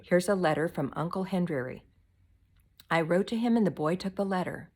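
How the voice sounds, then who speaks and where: A woman's voice: fairly low pitch, lively intonation, a little fast, darkish tone. A woman reading a storybook and voicing an earnest young daughter, pleading and nervous but brave. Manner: pleading, faltering.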